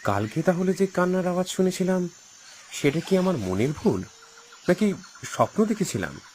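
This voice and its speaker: A man's voice: high-pitched, big pitch swings, normal speed, a little mellow, slight background noise. A man voicing an uneasy young city boy visiting a village, in a cartoon. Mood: wondering. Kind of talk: cartoon voice acting.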